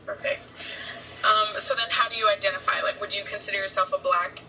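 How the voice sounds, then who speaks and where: Female interviewer, bright tone, meandering questions, set back from the mic with faint hum. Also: medium pitch, fairly even intonation, a little fast, distant mic, low-quality recording. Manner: rambling, lots of likes.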